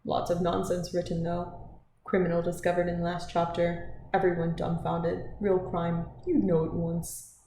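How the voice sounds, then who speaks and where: A woman voicing a gruff, self-assured middle-aged countrywoman with a clipped, no-nonsense delivery. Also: fairly low pitch, completely flat delivery, moderate pace, mellow. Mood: blunt, certain. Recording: roomy echo, faint background noise.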